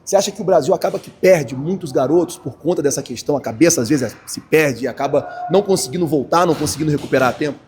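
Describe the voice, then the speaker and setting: A man's voice: fairly high pitch, fast, hardly any pauses, a little mellow, a little hiss or hum. A man working as a sports reporter, asking long, wordy questions in a post-match interview.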